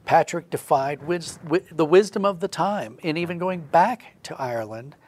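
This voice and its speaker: A man's voice: on the high side, lively intonation, normal speed, darkish tone. A man talking conversationally in an interview as a storyteller, explaining things in a reflective manner. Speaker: guest storyteller, audio drama writer.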